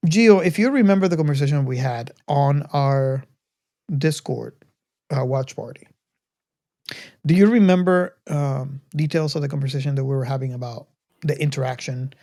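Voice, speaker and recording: A podcast host speaking casually in a halting way, often restarting sentences, while leading a film discussion. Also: mid-range voice, warm tone, no room sound, clean studio sound.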